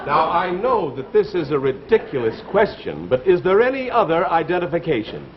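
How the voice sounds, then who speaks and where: High, blustering radio police sergeant. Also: male voice, sing-song. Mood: officious. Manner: halting, interrogating, mutters "eh?". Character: suspicious, blustering, scatterbrained male police sergeant. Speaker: comic actor in a radio show. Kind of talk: 1950s radio comedy acting. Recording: muffled old radio recording, noisy studio audience. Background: audience and clear noise.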